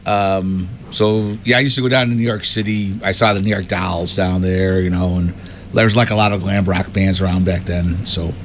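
Older man reminiscing over clear background noise. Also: deep voice, level tone, moderate pace. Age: 60s. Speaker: band musician being interviewed. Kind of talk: casual chat, reminiscing.

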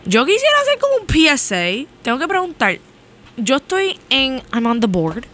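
A woman's voice: high-pitched, huge pitch swings, moderate pace, a bit bright. A young woman with a Puerto Rican accent hosting a college radio show, chatting in a rambling way and switching between Spanish and English mid-sentence. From Puerto Rico. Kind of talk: casual on-air chat.

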